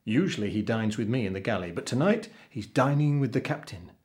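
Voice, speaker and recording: A man voicing a kindly, fat ship's cook in a storybook, explaining things in a reassuring, unhurried manner. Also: mid-range voice, animated, a little mellow, natural voice.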